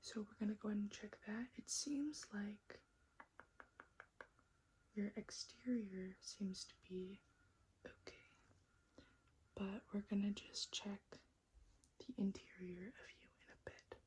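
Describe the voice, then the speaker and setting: A woman doing a soft-spoken, often whispered ASMR roleplay as a technician, gently reassuring and repeating words under her breath, close to the mic. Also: mid-range voice, steady tone, slow pace, long pauses, a little hiss or hum. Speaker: ASMR performer role-playing a robot technician.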